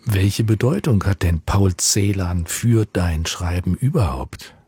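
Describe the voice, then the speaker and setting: Low husky male interviewer. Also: takes it slowly, dry recording, clean studio sound. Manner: calm, inquisitive questions. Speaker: radio interviewer. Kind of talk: radio interview.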